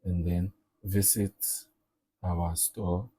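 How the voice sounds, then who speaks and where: A man giving a casual step-by-step software tutorial, often repeating himself and checking in with okay and all right. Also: low voice, little pitch movement, drawn-out words, breathy edge. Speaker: tutorial presenter.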